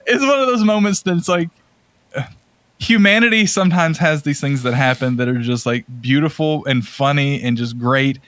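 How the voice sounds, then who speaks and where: A man's voice: fairly high pitch, moderate pace, fairly bright tone, dry recording. A man chatting casually as a podcast co-host, telling anecdotes in slangy, emphatic, exaggerated language. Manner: informal slangy speech, emphatic and hyperbolic.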